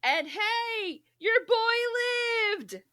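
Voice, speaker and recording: A woman hosting a podcast in casual, humorous chat, animated and giggly, swearing freely. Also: very high voice, extremely slow. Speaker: podcast host.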